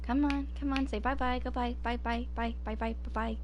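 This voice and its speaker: High-voiced young woman streamer, noisy audio. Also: fairly even intonation, a little fast, a little mellow, dull audio. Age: 20s. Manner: informal, thinking aloud. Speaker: game streamer. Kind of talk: casual live gameplay chat.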